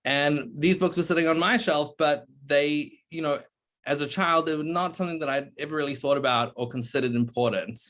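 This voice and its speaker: A young man, a PhD candidate, giving a halting presentation on a video call with many fillers. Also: on the high side, fairly bright tone. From born in South Africa, raised in New Zealand. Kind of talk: online meeting presentation.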